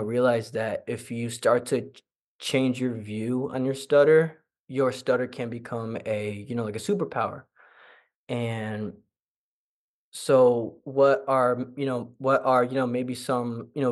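Flat-voiced young man who stutters. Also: mid-range voice. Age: young adult. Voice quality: stutters with repetitions. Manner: halting, many fillers. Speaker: interview guest. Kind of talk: conversational interview.

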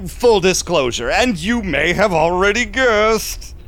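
A man's voice: high-pitched, expressive, slow, fairly bright tone, heavily acted. An actor playing a sly, self-assured male vampire businessman who schemes and tells his own story in an audio drama. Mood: sly, confiding. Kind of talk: audio drama acting and first-person narration.

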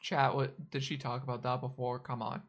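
A woman's voice: a bass voice, flat delivery, normal speed. A woman hosting a live stream, casually interviewing her guest and teasing her for answers. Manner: teasing, pushes for answers, talks to chat.

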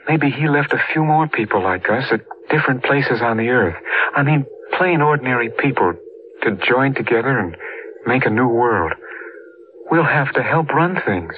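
A man's voice: medium pitch, lively intonation, lightly acted. Tinny old radio, weary hesitant man, acted. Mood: doubtful, halting. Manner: hesitant, halting, subdued. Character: weary, doubtful ordinary man, survivor of vanished world. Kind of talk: 1940s radio acting. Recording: tinny, noisy 1940s radio recording.

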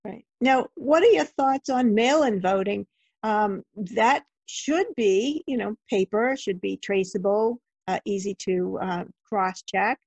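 A woman interviews a guest in a conversational style. Her voice is rough-edged and husky, recorded dry with little room sound and a slightly muffled, band-limited tone. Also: mid-range voice, takes it slowly, a little mellow, dry recording. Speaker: interviewer, host.

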